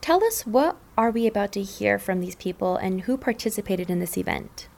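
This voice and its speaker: A woman's voice: medium pitch, animated, moderate pace, creaky, darkish tone. A woman radio host thanking and questioning her guest politely. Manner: polite, appreciative. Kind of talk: radio interview.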